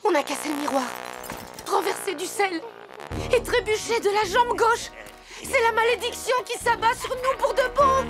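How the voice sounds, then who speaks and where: A woman's voice: very high-pitched, moderate pace. A woman voicing a gloomy, superstitious young friend in a cartoon, dramatic and sure that doom is coming. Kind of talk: cartoon voice acting. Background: music.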